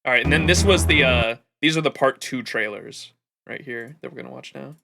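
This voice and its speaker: A man's voice: medium pitch, brisk, vocal fry, music in the background. A man hosting a livestream, giving casual, effusive reaction commentary full of swearing. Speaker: livestream host.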